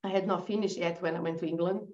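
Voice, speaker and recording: A woman from Brazil with a Brazilian accent, a guest telling her story in a relaxed podcast interview, laughing easily and restarting her sentences. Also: on the low side, quick pace, muffled recording. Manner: chatty, laughs often, restarts. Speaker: guest, agency founder.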